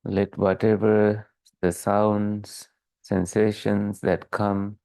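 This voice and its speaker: A man leading a guided meditation as a teacher, calm and measured, leaving long pauses between short phrases. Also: lowish voice, gravelly, smooth, no echo.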